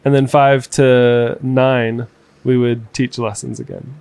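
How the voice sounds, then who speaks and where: A man's voice: mid-range voice, little pitch movement, takes it slowly, a little mellow, clean tone, dry recording. A man in his thirties, co-hosting a casual home podcast, telling a long story with many fillers and restarts. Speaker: podcast co-host. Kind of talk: casual chat. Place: home room.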